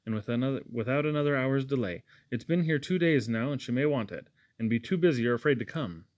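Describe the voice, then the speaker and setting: Man voicing a fussy, brisk housewife. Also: mid-range voice, no room sound, natural voice. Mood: urging. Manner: emphatic, homely scolding. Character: fussy, warm-hearted Scottish housewife, brisk and practical.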